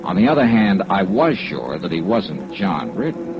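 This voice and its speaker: An American actor playing a dogged, wry insurance investigator in a 1950s radio drama, clipped and hard-boiled. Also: male voice, sits low, big pitch swings, normal speed, loud music behind the voice. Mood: dry, determined. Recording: dull old radio recording, rain noise over it.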